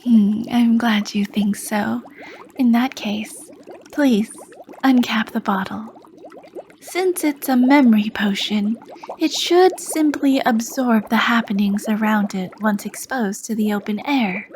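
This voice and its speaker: A woman voicing a charming, sly female potion master as a shopkeeper in an ASMR roleplay, speaking softly and flirtatiously and often dropping into whispers. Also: a little high, slow pace, a little hiss or hum. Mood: soft flirty. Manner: soft, flirty, drifts into whispers.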